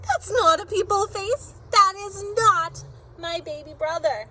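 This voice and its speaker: A woman voicing a skeptical little girl in a children's storybook, with emphatic, drawn-out delivery. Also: very high-pitched, pushed and bright, a little hiss or hum, voice pushed up, full character voice. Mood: indignant, emphatic. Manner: emphatic, declarative, drawn-out objections. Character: skeptical, observant little girl inspecting her baby sibling.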